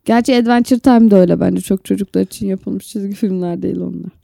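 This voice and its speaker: A woman's voice: mid-range voice, fast, gravelly, mellow, no room sound, studio quality. A woman, co-hosting a podcast in casual, opinionated chat. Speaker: podcast co-host.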